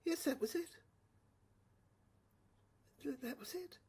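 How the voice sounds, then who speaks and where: A woman playing a tormented, haunted dreamer in an audio drama monologue, halting and repetitive, with a husky voice that slips into whispers. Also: sits high, lively intonation, mellow, heavily acted. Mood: haunted, brooding. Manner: halting, repetitive, intense delivery. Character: tormented, haunted dreamer recounting a surreal inner ordeal.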